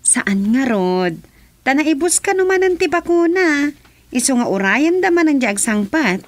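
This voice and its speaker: A high-pitched Ilocano woman, chatty and good-humoured. She talks like a familiar townswoman trading remarks with a friend in a radio comedy drama. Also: big pitch swings, a little slow. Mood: amused.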